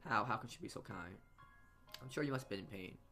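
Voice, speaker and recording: A man's voice: fairly low pitch, animated, fast, sharp tone. A man reading visual novel narration aloud as a let's play host, stumbling and repeating words as he goes. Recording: game music behind the voice. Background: game music.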